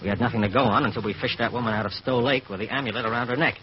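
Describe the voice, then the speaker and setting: A man's voice: medium pitch, fairly even intonation, very fast, lightly acted. Man playing a wisecracking cop, muffled radio sound. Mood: matter-of-fact. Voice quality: slangy tough-guy talk. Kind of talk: 1940s radio drama acting. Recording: muffled vintage radio recording.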